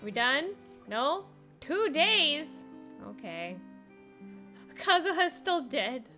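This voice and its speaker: A woman's voice: sits high, big pitch swings, very slow, bright, forceful tone. A woman game streamer giving casual, playful commentary and musing aloud as she plays. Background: game music.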